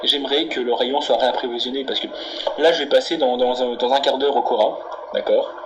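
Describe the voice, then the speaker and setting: A man's voice: medium pitch, fairly even intonation, brisk, close to an everyday voice. A man putting on a menacing, candy-obsessed angry customer in a prank phone call, ranting and swearing insistently. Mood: agitated, insistent. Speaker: prank caller. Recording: very noisy phone prank recording.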